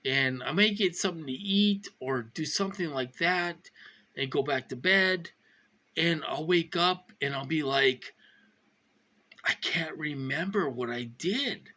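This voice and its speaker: Fifty-year-old man, slow and halting, bright tense tone, anxious and insistent. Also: on the high side. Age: 50s. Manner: halting, repeats himself, many fillers. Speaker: vlogger. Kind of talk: rambling personal monologue.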